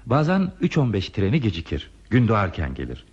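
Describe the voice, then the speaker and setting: An actor playing a lonely, modest railway signalman in a radio play, speaking in a measured, wistful, plainspoken way. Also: on the low side, wildly swooping pitch, darkish tone, husky, muffled recording, heavily acted. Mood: plainspoken, reminiscing. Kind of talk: Turkish radio theatre acting.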